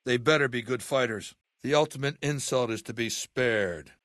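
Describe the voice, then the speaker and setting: An actor playing a ruthless, intellectual warlord dictator in a video game, speaking in a commanding, articulate and contemptuous way. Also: male voice, medium pitch, moderate pace, a bit bright, dry recording, studio quality, lightly acted. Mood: stern, businesslike. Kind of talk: video game character acting.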